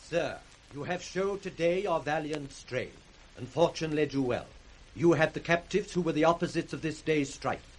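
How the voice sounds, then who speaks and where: A man plays an authoritative duke in a classic radio tragedy. The voice sits a little high, and he declaims formally and firmly, stern and righteous. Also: moderate pace, a little hiss or hum, close to an everyday voice, rough recording. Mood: formal authority. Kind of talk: 1960s radio Shakespeare acting.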